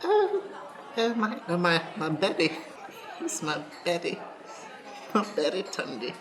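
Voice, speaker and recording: A woman in an improvised comedy radio play, playing a creepy, grieving old restaurant owner with halting, melodramatic delivery. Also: fairly low pitch, sing-song, crowd noise. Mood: wistful, startled. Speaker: improv actor.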